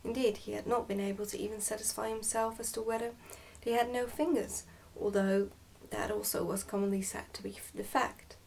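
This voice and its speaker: Woman, medium pitch, ASMR book reading, soft-spoken, occasional whispery words. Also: flat delivery, a little fast, creaky, hardly any pauses, distant mic, a little hiss or hum, rough recording. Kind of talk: ASMR storybook narration.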